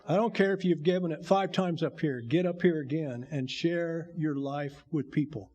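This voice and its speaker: Older man, gravelly voice, slightly high pitch, folksy, joking, church testimony. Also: normal speed, a little mellow, lo-fi sound. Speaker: bishop giving testimony. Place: church sanctuary.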